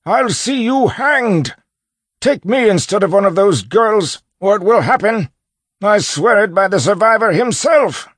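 Aging lord, audiobook character, raised high voice, bellowing, indignant, proud, dry sound. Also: male voice, expressive, a little slow, fairly bright tone, no room sound, full character voice. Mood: outraged, defiant.

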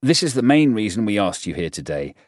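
A man's voice: fairly low pitch, very animated, fairly quick, no room sound, clean studio sound, voice pushed up. A man voicing a commanding, formal general in an audiobook, speaking in a firm, official and emphatic way. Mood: commanding, persuasive. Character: commanding, formal male general briefing a meeting.

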